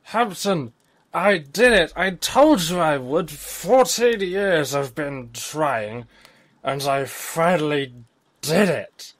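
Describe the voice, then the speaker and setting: A man's voice: a little high, expressive, takes it slowly. A man playing a drunken, boastful, scruffy man in his fifties in a fantasy audio drama, bellowing in a slurred drawl. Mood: drunken triumph.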